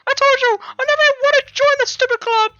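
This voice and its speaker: A man voicing a defensive, easily riled schoolgirl in a shrill falsetto for a video game. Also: steady tone, a little fast, sharp tone, no echo, muffled recording, soft background music. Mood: indignant. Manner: snappy, indignant. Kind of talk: video game fan voice-over.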